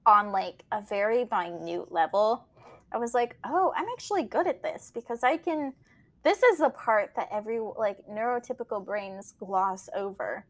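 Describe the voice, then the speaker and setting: A woman's voice: medium pitch, normal speed. A woman whose first language is Portuguese, talking casually as an editor and guest in an interview, laughing often and trailing into fillers.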